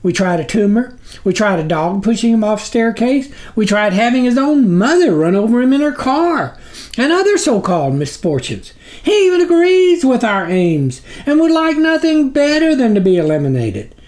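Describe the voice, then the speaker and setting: A man voicing a high-voiced, fawning, pleading demon minion in a storybook reading. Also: very animated, moderate pace, darkish tone. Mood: anxious, fawning. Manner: obsequious, pleading.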